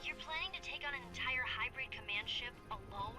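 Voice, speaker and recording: Casting: female actor as sensible teenage game heroine, high-pitched, concerned delivery, music behind. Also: a little fast, lightly acted. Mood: firm. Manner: earnest, concerned. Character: level-headed, caring teenage girl heroine. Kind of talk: video game cutscene acting. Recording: loud music underneath.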